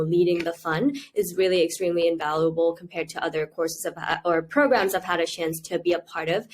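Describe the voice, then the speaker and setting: A young woman from Thailand, a university student, talking earnestly in a casual interview, with a lot of "you know" and "like". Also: on the low side. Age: 20s. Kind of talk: casual video-call interview.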